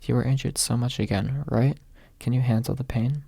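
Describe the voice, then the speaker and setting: Caring young maid girl read by a man, polite and earnest, in a mellow, creaky storybook voice. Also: mid-range voice, fairly even intonation, normal speed, no room sound. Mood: worried.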